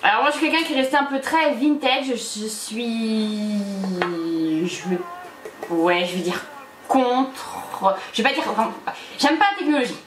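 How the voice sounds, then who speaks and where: A woman guest in a casual chat over a cocktail, expressive and opinionated, with hesitant fillers. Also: fairly high pitch, unhurried. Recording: echoey room, faint noise.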